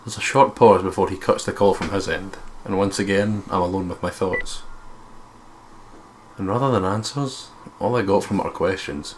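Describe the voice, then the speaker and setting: A man's voice: low voice, normal speed, slight background noise. A Scottish man reading visual novel narration aloud as a let's play co-host, with casual asides.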